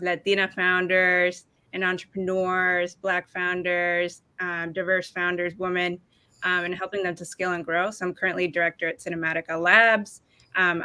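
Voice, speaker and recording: A woman's voice: fairly low pitch, bright, forceful tone, smooth, no room sound. A woman from Ecuador introducing herself on a panel in an upbeat, loose, conversational way.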